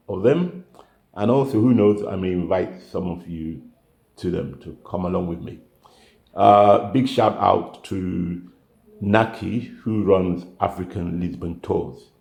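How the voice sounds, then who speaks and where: A man's voice: low-pitched, slow, creaky, a little mellow, reverberant. An older man, a retiree vlogger, chatting casually to camera, rambling and correcting himself as he goes. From United Kingdom.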